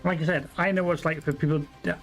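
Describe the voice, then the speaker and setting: A man's voice: a little high, fairly even intonation, fast, gravelly, faint music behind. A man from Wales with an English accent, chatting casually and swearing freely while playing a factory-building game, often putting himself down. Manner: swears freely, self-deprecating, muttering to himself. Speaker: game streamer. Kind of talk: casual gameplay commentary.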